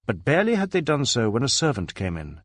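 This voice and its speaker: A man's voice: medium pitch, huge pitch swings, fast talker, dry recording, studio quality. A man reading a literary crime story as a scripted narration. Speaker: audiobook narrator.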